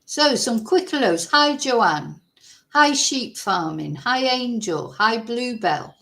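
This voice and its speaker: A woman from Manchester with a softened Mancunian accent, hosting a casual true-crime livestream, chatty and rambling, greeting viewers by name. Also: fairly high pitch, animated, takes it slowly. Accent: softened Mancunian English. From Manchester, England.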